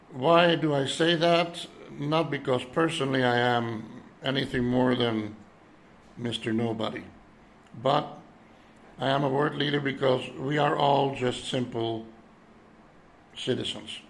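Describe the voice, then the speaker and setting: A man in his seventies from Europe speaking in an unscripted, reflective monologue, halting as he searches for words. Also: medium pitch. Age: 70s. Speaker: citizen vlogger addressing world leaders.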